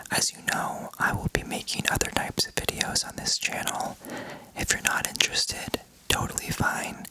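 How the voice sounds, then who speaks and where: A young man softly whispering a slow ASMR vocabulary lesson, repeating each word calmly. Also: low-quality recording. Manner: soft unvoiced whisper, slow word-by-word repetition. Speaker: ASMR creator teaching vocabulary.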